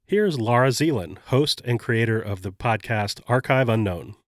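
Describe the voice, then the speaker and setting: A man hosting a podcast, sounding slightly nasal and congested while getting over an illness, narrating a scripted story in a measured way. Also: medium pitch, animated, no room sound, clean studio sound. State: congested, recovering from covid. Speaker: podcast host.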